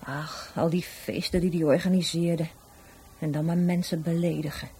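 A woman's voice: on the low side, little pitch movement, normal speed, warm tone, husky. An actress playing a blunt, cynical woman in a radio drama, with a dry, nonchalant way of talking. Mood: blunt, offhand. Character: blunt, offhand, cynical sister of a murder victim.

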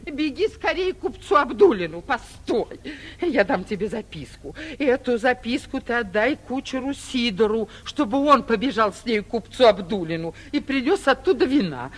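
An actress playing a vain, bossy, middle-aged mayor's wife, grand and flustered, in 1940s theatrical comedy style. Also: female voice, fairly high pitch, expressive, normal speed. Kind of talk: 1940s theatrical stage comedy acting for radio.

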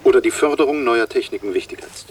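An actor in a German radio drama playing an earnest, troubled, brooding journalist. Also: male voice, medium pitch, fast, slight background noise, lightly acted. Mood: probing. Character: troubled, brooding male journalist, frustrated and haunted.

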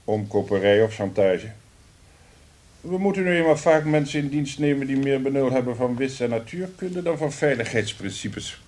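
Man acting a senior director, male, blunt, dryly teasing, commanding, radio drama, mid-pitched voice. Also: animated, moderate pace, darkish tone, natural voice. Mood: businesslike. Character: blunt, sardonic senior director interviewing a job candidate.

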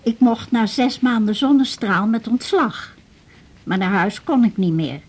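A woman's voice: a little high, animated, darkish tone, husky. An actress playing a frail, talkative old Dutch widow, a patient clinging to her house, in a radio drama. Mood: wistful, anxious. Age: older. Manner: chatty, plaintive, with halting repetitions.

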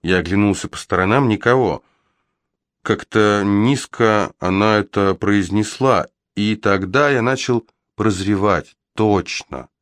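A man with a fairly low voice reads a literary story in the first person, recorded dry and close. His delivery is expressive and wry, like an audiobook narrator telling his own tale. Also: unhurried, dry recording. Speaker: audiobook reader.

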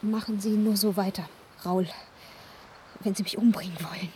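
A woman's voice: medium pitch, fairly even intonation, moderate pace, noisy background, natural voice. An actress playing a guarded, fragile young woman with a secret, formal and restrained, in a radio play. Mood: secretive, pained.

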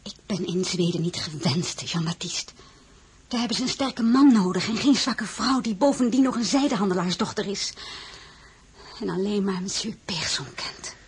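An actress playing a modest but stubborn young crown princess in an audio drama, halting when flustered. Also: female voice, medium pitch, expressive, slightly rough. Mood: reluctant, tender. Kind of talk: Dutch audio drama acting with narration.

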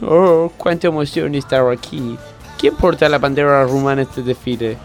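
A man's voice: mid-range voice, fairly even intonation, normal speed, faint music behind, close to an everyday voice. A man from Argentina acting a naive, literal-minded young female gymnast with an earnest manner in a parody radio play. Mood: naive wonder. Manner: innocent, earnest, dim.

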